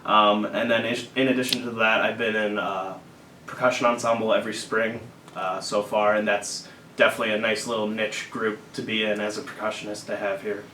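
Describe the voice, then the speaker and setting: A young man in his early twenties from Illinois, answering interview questions in a measured, steady way. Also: lowish voice, echoey room, recorded from a distance, a little hiss or hum, low-quality recording. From Illinois, USA. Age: early 20s. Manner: measured, steady, some fillers. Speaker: student guest.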